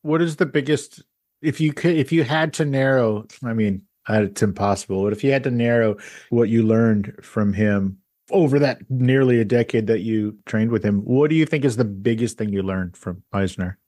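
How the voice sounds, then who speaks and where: A man's voice: mid-range voice, moderate pace, darkish tone, dry recording, studio quality. A man hosting a podcast, asking curious, loosely phrased questions. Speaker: podcast host. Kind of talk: interview questions.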